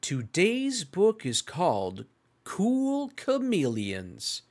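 Casting: children's read-along host, extremely slow and deliberate, with big swooping, exclamatory intonation. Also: mid-range voice, wildly swooping pitch, a little mellow. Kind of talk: slow animated read-aloud for young children.

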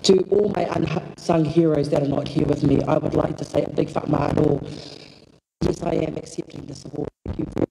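A woman from Samoa giving a slow, heartfelt acceptance speech at a lectern microphone on a hall stage. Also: sits low, steady tone, gravelly, dark tone. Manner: slow, deliberate, heartfelt with long pauses. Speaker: award recipient. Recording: echoey hall, filmed from the audience.

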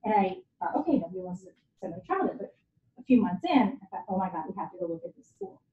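A woman's voice: medium pitch, big pitch swings, fast talker, a little hiss or hum. Candid woman lecturing in an echoey hall. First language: Japanese. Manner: candid, conversational, self-deprecating. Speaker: guest lecturer. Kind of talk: university lecture talk. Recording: echoey hall, dull lo-fi sound.

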